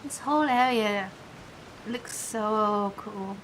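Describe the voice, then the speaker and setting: A woman's voice: mid-range voice, slow, fairly bright tone, a little hiss or hum, rough recording. A woman game streamer giving casual, chatty live commentary, rambling along with her train of thought.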